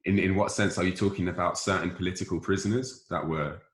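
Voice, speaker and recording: A man hosting a casual group discussion, putting probing questions in a halting way and rephrasing as he goes. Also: sits low, steady tone. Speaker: host of a discussion show. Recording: roomy, echoing voice pickup.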